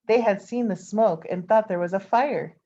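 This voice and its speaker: A woman reading a children's picture book aloud as an expressive storyteller, adding informal asides for her listeners. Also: mid-range voice, normal speed. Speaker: storybook reader. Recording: dull, lo-fi sound.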